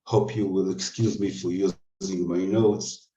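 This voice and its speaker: Israeli man, low mellow voice, formal hesitant lecturer, distant echoey hall pickup. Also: flat delivery, measured pace. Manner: formal, hesitant with many fillers. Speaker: guest speaker. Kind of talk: lecture read from notes. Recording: dull, distant, roomy lecture-hall sound.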